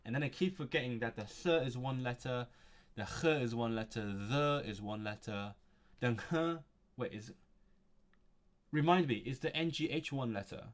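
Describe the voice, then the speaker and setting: A man's voice: medium pitch, moderate pace. Man musing aloud over his studies. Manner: thinking aloud, musing to himself with frequent chuckles. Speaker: language learner streaming his study session. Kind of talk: casual livestream, reading a textbook aloud and commenting.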